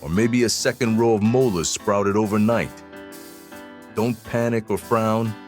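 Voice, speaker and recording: Low, polished radio ad announcer, a man acting. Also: fairly even intonation, measured pace, a little mellow, close to an everyday voice. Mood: reassuring, sly. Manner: polished salesman delivery. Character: reassuring, slightly sinister male spoof-advert announcer. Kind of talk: scripted radio advert parody. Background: faint music.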